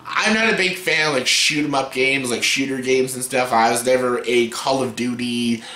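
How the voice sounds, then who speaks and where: A man's voice: mid-range voice, moderate pace, bright, forceful tone. Scratchy-voiced man rambling casually. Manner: rambling, profane, filler-heavy. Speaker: vlogger. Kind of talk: casual top-ten countdown. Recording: roomy echo.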